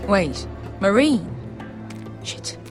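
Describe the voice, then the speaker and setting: A woman's voice: mid-range voice, very animated, extremely slow, soft background music. An actress playing a blunt, sceptical but loyal female sellsword in a fantasy game, casual and clipped in delivery. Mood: uneasy, evasive. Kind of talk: dramatic video game dialogue.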